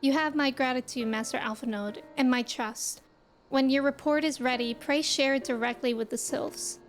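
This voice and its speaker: A woman acts out a gracious leader from a fantasy game, solemn and stately. The high voice delivers formal phrases with soft music behind it. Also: steady tone, normal speed, lightly acted. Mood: solemn, grateful.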